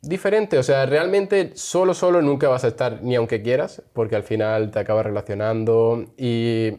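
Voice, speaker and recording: A man's voice: medium pitch, normal speed, a little mellow. A young man from Spain, chatting casually as an interview guest and laughing often. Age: young adult.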